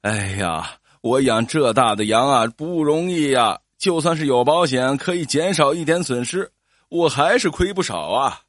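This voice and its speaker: Guy doing a sheepish scheming farmer in a story, stammery and whiny, lively pitch, close dry sound. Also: fairly quick, breathy edge, dry recording. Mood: whining. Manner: stammering, sighing, pleading. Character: hapless scheming male farmer, nervous and pleading.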